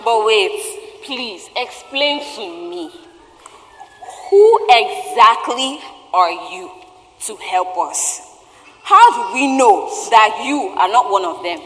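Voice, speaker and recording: A woman's voice: fairly high pitch, lively intonation, takes it slowly, a bit bright. Woman acting a suspicious shopkeeper, echoey hall. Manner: emphatic, repetitive. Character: irritable, suspicious woman shopkeeper, quick to interrogate. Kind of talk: theatrical stage play acting. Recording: echoey theatre hall, faint noise.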